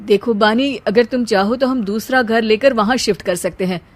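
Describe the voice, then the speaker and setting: A man's voice: high voice, moderate pace, natural voice. A man voicing a caring, protective young husband in a family cartoon, earnest and reassuring. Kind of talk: Hindi family cartoon dubbing.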